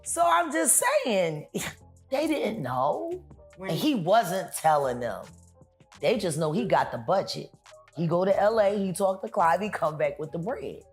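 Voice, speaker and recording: A woman's voice: lowish voice, big pitch swings, measured pace, a little mellow, faint music behind. A woman in casual comedic chat, blunt, joking and slangy.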